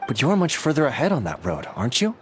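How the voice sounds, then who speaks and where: A man's voice: medium pitch, wildly swooping pitch, fast talker. A young game hero, modest and dryly reluctant yet dutiful. His mid-range voice moves around expressively, over faint music. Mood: doubtful. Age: young adult. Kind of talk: video game voice acting.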